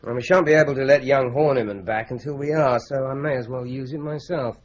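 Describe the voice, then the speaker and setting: An actor playing a shrewd, probing police investigator in a radio drama, measured and dry in manner. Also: male voice, medium pitch, fairly even intonation, lightly acted. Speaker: police detective investigating a murder. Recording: dull lo-fi radio recording.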